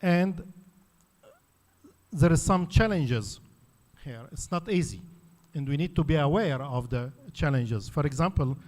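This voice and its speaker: A man's voice: a little high, animated, measured pace, long pauses, a little mellow. A man from Lebanon, a native Arabic speaker, giving an academic conference talk in an explanatory way with frequent fillers and restarts. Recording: reverberant hall.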